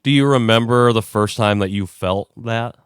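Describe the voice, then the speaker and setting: A man's voice: lowish voice, moderate pace, clear, resonant tone, no echo, studio quality. A man hosting a podcast interview, easygoing and affirming, asking questions in a casual chat. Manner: easygoing, frequent affirming "yeah" and "sure". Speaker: podcast host.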